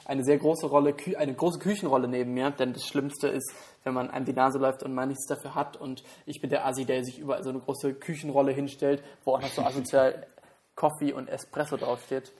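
A man's voice: medium pitch, level tone, brisk, darkish tone. A young man co-hosting a podcast with a stuffy cold, rambling self-consciously with many fillers. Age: young adult. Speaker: podcast co-host. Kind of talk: casual chat.

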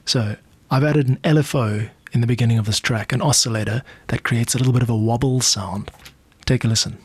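A man with a South African accent narrates an explanatory podcast in a measured way. His mid-pitched voice is recorded very dry, with no room sound around it. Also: fairly even intonation, breathy edge, dry recording. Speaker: podcast host, music composer and producer.

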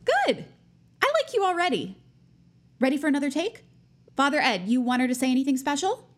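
High-pitched, pushy teenage schoolgirl. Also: very animated, fairly quick. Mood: eager, bossy. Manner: chirpy, pushy, self-important. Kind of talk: naturalistic audio drama acting.

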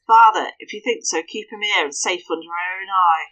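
A woman's voice: medium pitch, expressive, brisk, fairly bright tone, dull audio, voice pushed up. A woman voicing an earnest young daughter, speaking eagerly and pleadingly.

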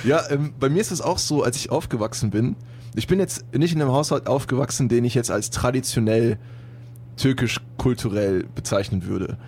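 A man's voice: mid-range voice, normal speed, a little mellow, slight background noise. A young man from Germany hosting a casual podcast chat, joking and laughing often, with lots of fillers. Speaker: podcast host.

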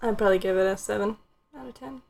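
A woman's voice: medium pitch, fast, creaky, darkish tone. A woman hosting a casual movie review podcast, speaking informally and steering the conversation. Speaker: podcast host.

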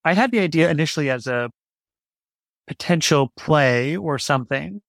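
Gravelly male writer being interviewed. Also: a little high, expressive, normal speed, fairly bright tone, no room sound, clean studio sound. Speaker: podcast guest, playwright. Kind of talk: casual interview conversation.

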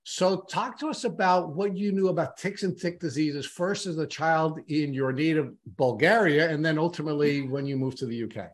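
A man's voice: fairly high pitch, normal speed, few pauses. A man hosting a podcast, introducing his guest enthusiastically and asking long, rambling questions. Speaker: podcast host. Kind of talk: podcast interview.

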